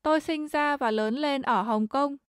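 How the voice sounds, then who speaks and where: A woman reading short translated lines in a plain, even way. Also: sits high, moderate pace, dry recording, clean studio sound. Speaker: translation reader.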